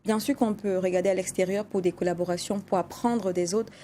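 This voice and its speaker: A woman from Cameroon speaks with passion and insistence as a guest on a studio talk-show panel. She laughs easily and pushes her point again and again. Her voice sits at an average pitch over a faint hiss of background noise. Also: steady tone, a little fast, mellow. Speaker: guest singer. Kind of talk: lively panel debate.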